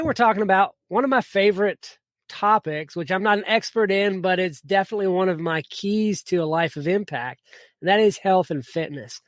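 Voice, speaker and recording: A man's voice: sits high, no echo. A man hosting a podcast, upbeat and enthusiastic, often repeating words. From United States. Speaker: podcast host. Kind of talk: casual podcast interview.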